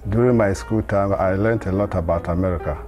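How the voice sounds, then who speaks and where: A man's voice: deep voice, a little fast, music in the background. A man from Ghana, a congregation elder, speaking earnestly in a documentary interview.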